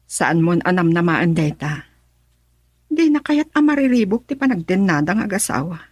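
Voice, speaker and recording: A woman's voice: lowish voice, very animated, normal speed, breathy edge, no echo, clean studio sound. An actress with an Ilocano accent playing a blunt, reproachful woman in a radio drama. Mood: firm, matter-of-fact.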